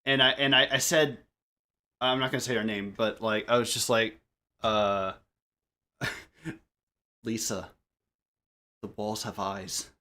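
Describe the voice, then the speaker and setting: A man chatting casually on a group podcast and telling anecdotes in an animated way, prone to laughing. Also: fairly low pitch, slow, long pauses. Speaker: podcast co-host.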